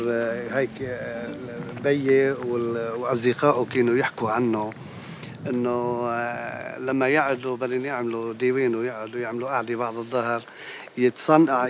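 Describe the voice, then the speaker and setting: A man with a Levantine Arabic accent recalling memories casually, halting and repeating himself as he searches for words. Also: medium pitch, level tone, a little hiss or hum. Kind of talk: casual conversational recollection.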